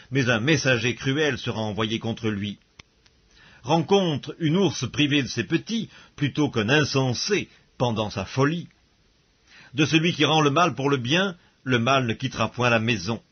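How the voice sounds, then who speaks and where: A man reads scripture aloud as an audiobook narrator, solemn and measured, with formal diction, on a dull recording. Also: mid-range voice, husky.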